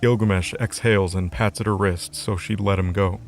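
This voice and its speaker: A man's voice: on the low side, fairly even intonation, moderate pace, a little hiss or hum. A man narrating a scripted fantasy story as the narrator of an audio drama. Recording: music under the voice.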